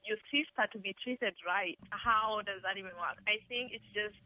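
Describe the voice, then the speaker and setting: A woman's voice: medium pitch, moderate pace, no room sound. A woman calling into a talk show, speaking candidly and emphatically. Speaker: caller. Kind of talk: casual phone-in chat. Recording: muffled phone line.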